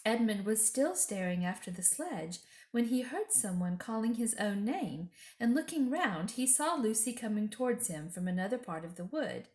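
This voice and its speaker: A woman's voice: on the high side, sing-song, normal speed, few pauses, darkish tone. A woman storyteller expressively reading a children's storybook aloud. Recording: echoey room.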